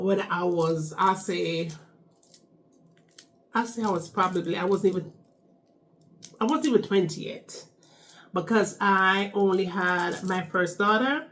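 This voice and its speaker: A woman's voice: fairly low pitch, fairly bright tone. A young Jamaican woman with a Jamaican accent, chatting casually in a storytime vlog, repeating phrases and tagging lines with "okay?". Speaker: vlogger.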